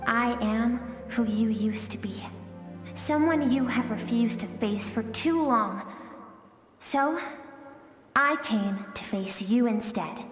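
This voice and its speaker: A woman voicing a game character, the gentle suppressed emotional self of a swordswoman, speaking softly and earnestly with pleading warmth. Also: a little high, slow. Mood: earnest, resolute. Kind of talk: video game voice acting. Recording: very echoey with long reverb, music behind.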